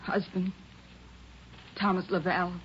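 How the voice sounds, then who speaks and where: An actress playing a quiet widowed housewife in an old radio crime drama, brief and restrained. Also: female voice, fairly low pitch, level tone, slow. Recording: muffled, noisy old radio recording.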